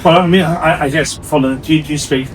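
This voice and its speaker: A man hosting a conversational interview in wordy, halting phrases with repeated words. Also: a little high, fairly even intonation, recorded from a distance, slight background noise, low-quality recording. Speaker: interviewer, host.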